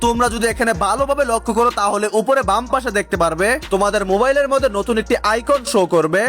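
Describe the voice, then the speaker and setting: Guy doing a game tutorial, really high voice, talks quick, music under it. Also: fairly bright tone. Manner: direct address, instructional. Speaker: gaming tutorial host. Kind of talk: energetic scripted tutorial narration.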